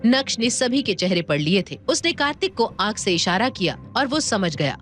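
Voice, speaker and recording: A woman's voice: mid-range voice, sing-song, moderate pace, a bit bright, faint music behind. A woman narrating a scripted dramatic audio story.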